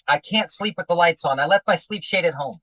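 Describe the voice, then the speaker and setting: An actor playing a grumpy, nervous husband in a 1940s-style radio comedy, sarcastic and grumbling with quick comebacks. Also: male voice, a little high, a bit bright, no echo, recorded from a distance. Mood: exasperated. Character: grumpy, nervous middle-aged husband before surgery.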